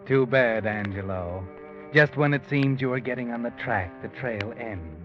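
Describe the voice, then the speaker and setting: A man's voice: mid-range voice, moderate pace, natural voice. Actor doing sinister 40s radio mystery narrator guy, taunting and dramatic, music behind, dull old recording. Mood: grim, pressing. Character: ominous, needling all-knowing crime narrator. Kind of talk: 1940s radio suspense narration, addressed to the character.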